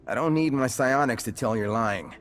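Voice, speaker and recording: An actor playing a grumbling, skeptical squad member with a dry, unimpressed manner in game dialogue. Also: male voice, medium pitch, moderate pace, fairly bright tone, lightly acted. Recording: music under the voice.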